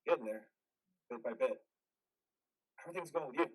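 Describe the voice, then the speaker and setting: An actor playing a traumatized, bitter and weary combat veteran in a modern stage play reading, with a husky voice. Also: male voice, mid-range voice, moderate pace, close to an everyday voice. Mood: subdued.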